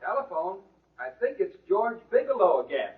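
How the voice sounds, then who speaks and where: Middle-aged father, very husky, calling from another room, 1940s radio comedy, muffled old broadcast. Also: high-pitched, big pitch swings, normal speed, full character voice. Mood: matter-of-fact. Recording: muffled, distant vintage radio recording.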